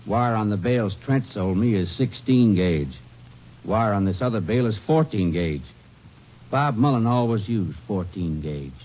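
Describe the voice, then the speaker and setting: A man's voice: lowish voice, expressive, unhurried. An actor playing a blunt, practical rural feed buyer in a 1950s radio drama, clipped and exacting in his speech. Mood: alert. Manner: clipped, plain-spoken, exacting. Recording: muffled, noisy old radio recording.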